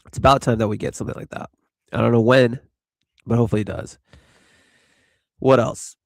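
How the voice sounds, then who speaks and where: A man's voice: mid-range voice, steady tone, a little fast, vocal fry, no echo, clean studio sound. A man hosting a casual live crypto chat, rambling and laid-back with lots of fillers, stuffy from allergies. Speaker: host.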